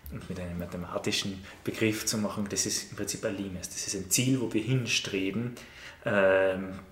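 A man's voice: fairly low pitch, steady tone. Male teacher, roomy echo. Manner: measured, many "ähm" fillers and restarts. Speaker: teacher of Latin and Ancient Greek. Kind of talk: interview, thoughtful explanation. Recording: roomy echo with faint noise.

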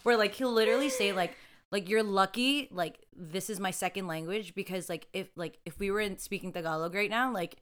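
A woman's voice: medium pitch, brisk, fairly bright tone. A young woman in her twenties chatting casually as co-host, laughing often and filling her talk with "like". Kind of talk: casual chat.

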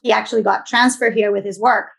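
Creaky-voiced woman from Venezuela. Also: medium pitch, steady tone, quick pace. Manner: warm storytelling, lots of "like" and restarts, laughs easily. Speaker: guest, business owner. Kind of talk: casual interview.

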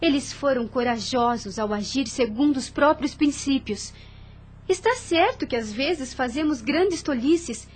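An actress playing a gentle but firm, loyal young woman in a radio soap, speaking in an earnest, formal way. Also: high-pitched, husky, heavily acted. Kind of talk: radio soap opera acting.

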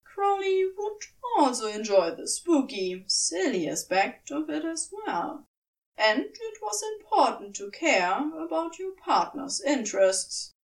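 A woman narrating scripted fiction in a measured, expressive storytelling manner. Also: high-pitched, recorded from a distance, low-quality recording. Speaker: audiobook narrator.

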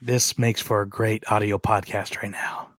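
A man's voice: fairly low pitch, flat delivery, normal speed, a bit bright, dry recording. A man co-hosting a podcast in casual chat, informal and joking, with some self-deprecation. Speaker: podcast co-host.

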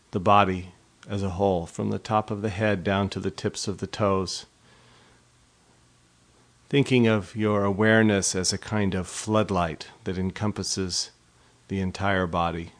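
Man, meditation instructor, lowish voice, measured delivery, pauses between phrases, gentle guidance. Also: steady tone. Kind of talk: guided body scan meditation.

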